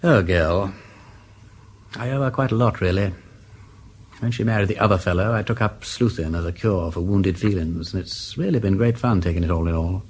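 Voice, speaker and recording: An actor playing a flippant, lovestruck aristocratic English gentleman detective in a British radio drama, chattering whimsically in old-fashioned slang. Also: low voice, moderate pace, slight background noise, close to an everyday voice. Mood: whimsical, chatty. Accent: upper-class English.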